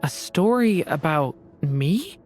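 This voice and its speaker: A woman voicing a gentle, wistful young dragonborn boy in a video game, soft-spoken and thoughtful. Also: deep voice, lively intonation, slow pace, music in the background. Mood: wondering. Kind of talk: video game character acting.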